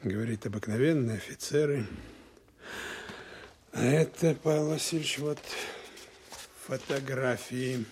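An actor playing a thoughtful Soviet lieutenant colonel in an audio drama, husky and deliberate, pausing to reason aloud. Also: male voice, medium pitch, a little mellow, a little hiss or hum, close to an everyday voice.

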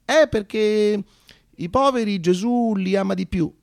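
A man's voice: sits high, unhurried, gravelly, no echo, studio quality, voice pushed up. A man in a radio monologue playing a timid, pious little boy, flustered and eager to please. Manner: flustered, repeating denials.

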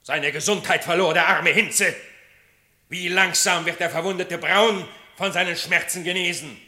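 A man's voice: high-pitched, fairly even intonation, moderate pace, pushed and bright. An actor playing a stern, angry animal king in a radio play, declaiming accusations in commanding verse. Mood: wrathful. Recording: roomy echo.

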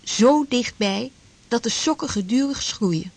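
A woman's voice: fairly high pitch, expressive, unhurried, darkish tone. A woman narrating a radio drama from a script.

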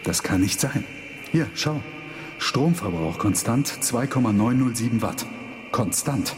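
A man's voice: mid-range voice, expressive, measured pace, natural voice. A man playing an eager, ambitious experimental physicist in a radio drama, talking in an emphatic, technical way. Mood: insistent, analytical. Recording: clear background hum.

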